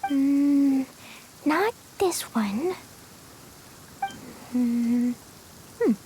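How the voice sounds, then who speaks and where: A woman playing an eager, innocent little girl in an anime game, talking in a childlike, excitable, singsong way. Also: sits high, extremely slow, slight background noise, heavily acted. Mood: eager, searching.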